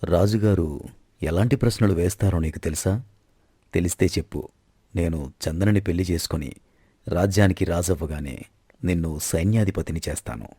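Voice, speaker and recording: An overconfident, ambitious prince played by a man boasts and bargains. His voice is deep and dark, with a dry, close sound suited to an audio story. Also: moderate pace, pauses often, husky, dry recording. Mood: confident, scheming.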